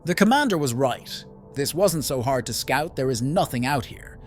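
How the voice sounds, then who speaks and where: A man's voice: mid-range voice, animated, moderate pace, close to an everyday voice. A man playing a carefree, overconfident young scout in a motion comic, with a breezy, dismissive delivery. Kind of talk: motion comic dramatic acting. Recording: music under the voice.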